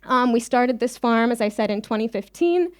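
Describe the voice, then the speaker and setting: A woman's voice: fairly high pitch, expressive, normal speed, no room sound, clean studio sound. A woman from Israel giving an informal conference presentation, speaking conversationally with frequent ums and personal asides. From Israel, early childhood on a kibbutz. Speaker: farmer cooperative leader, invited conference speaker.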